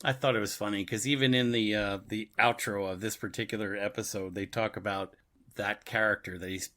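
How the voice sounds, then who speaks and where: A man's voice: on the low side, fairly even intonation, pushed and bright. A man chatting casually as an agreeable podcast co-host. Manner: agreeable, quick to affirm. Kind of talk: casual chat.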